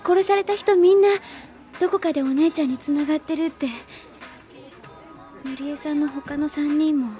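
A woman playing an anxious, earnest young girl in a soft, girlish manner, in a Japanese radio drama. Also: high voice, fairly even intonation, normal speed, soft background music, close to an everyday voice. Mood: earnest, troubled.

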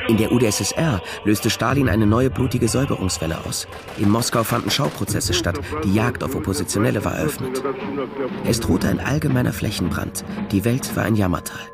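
An actor playing a world-weary private detective who narrates a crime story in a measured, sombre radio drama style. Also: male voice, on the low side, creaky. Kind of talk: scripted first-person radio drama narration.

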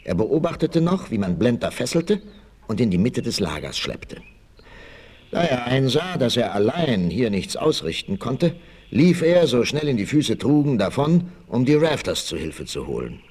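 Male narrator for vintage adventure radio play: lively, widely moving pitch, suspenseful scripted delivery. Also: moderate pace. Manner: suspenseful storytelling. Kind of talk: dramatic scripted audio drama narration, 1960s.

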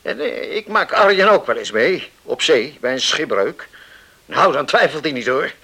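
Steady island confidant, male, middle-aged, gravelly, forceful tone, calm, plain-spoken, radio drama. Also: a little high, moderate pace, heavily acted. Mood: reassuring, frank. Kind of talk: Dutch radio drama acting.

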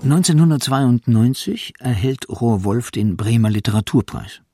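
A man narrating a scripted radio feature in a gravelly, husky voice. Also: medium pitch, animated, moderate pace, warm tone, no echo, studio quality, faint music behind.

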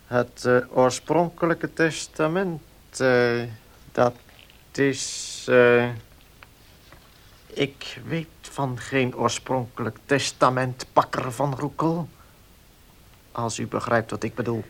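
A man's voice: mid-range voice, fairly even intonation, slow, long pauses. A man playing a cautious, fussy village notary in a radio play, formal and hesitant in manner. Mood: uneasy, evasive. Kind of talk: Dutch radio play acting.

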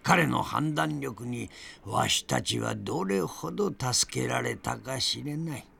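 An actor playing a spirited old grandfather in an audio drama, narrating his memories with theatrical, deliberate pauses and a gravelly voice. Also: mid-range voice, expressive, sharp tone, exaggerated voice. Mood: fond, proud. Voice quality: gravelly, creaky. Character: spirited old grandfather reminiscing fondly about past adventures.

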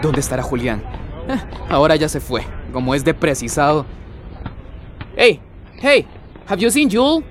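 An anxious, high-voiced young son. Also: male voice, lively intonation, measured pace, pauses often, background noise. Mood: puzzled. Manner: hesitant, stammering when nervous. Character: anxious young son, polite but easily shaken. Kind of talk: radio drama acting.

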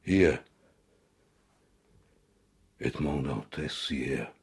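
Husky, broken, guilt-stricken prosecutor. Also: male voice, rumbling low, animated, very slow, heavily acted. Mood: bitter despair. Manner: agitated, dramatic. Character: proud royal prosecutor broken by guilt and horror. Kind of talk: German radio drama acting.

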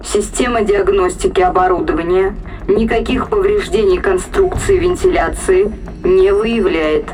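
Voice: medium pitch, animated, measured pace, a little mellow, faint music behind, poor audio. A performer voicing a dutiful ship's computer in a science fiction audio drama, formal and matter-of-fact.

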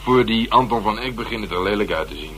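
A man's voice: on the low side, lively intonation, fast, a bit bright. A man in a Dutch radio drama playing a skeptical police inspector who answers in laconic grunts and short replies. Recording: very noisy old radio drama recording.

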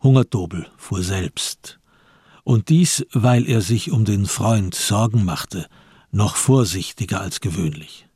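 Male radio-drama narrator, very husky and low, measured literary delivery with deliberate pauses. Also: lively intonation, a little mellow, no room sound, clean studio sound. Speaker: narrator of a radio crime play.

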